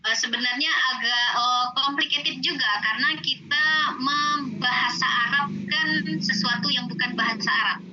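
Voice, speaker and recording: A woman's voice: high voice, normal speed, pushed and bright, highs cut off, a little hiss or hum. A woman Arabic teacher, patiently explaining in an online class with many fillers.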